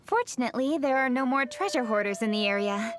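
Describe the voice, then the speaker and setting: A woman's voice: a little high, animated, fast talker, background noise, close to an everyday voice. A woman voicing a diligent, courteous and composed young noblewoman in a video game, speaking politely. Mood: composed, reassuring. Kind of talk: English video game dub acting.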